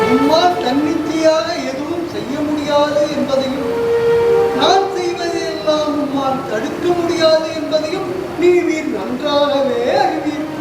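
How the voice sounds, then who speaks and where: Brief: actor as a calm divine envoy, slow and authoritative, high voice in a noisy hall. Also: recorded from a distance. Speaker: stage actor. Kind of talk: stage play acting. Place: auditorium stage.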